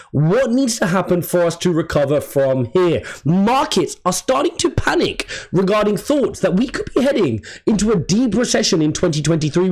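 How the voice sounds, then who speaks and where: A man with a croaky voice, giving brisk, emphatic crypto market commentary as host. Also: high voice, darkish tone. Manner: brisk, emphatic chart walkthrough.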